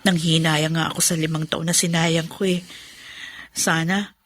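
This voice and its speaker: An actress playing an emotional, devoted mother in a Filipino radio drama, earnest and expressive. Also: deep voice, normal speed. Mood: warm, regretful. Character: emotional, devoted mother of special-needs children, regretful yet hopeful.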